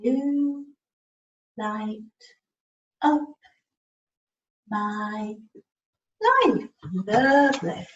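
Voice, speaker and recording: A woman giving a one-to-one lesson, coaxing and prompting, repeating words deliberately and spelling out letters one by one on a video call. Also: on the high side. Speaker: communication support partner helping a learner spell out words. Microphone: video call, away from the microphone. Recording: echoey room, muffled distant mic, faint noise.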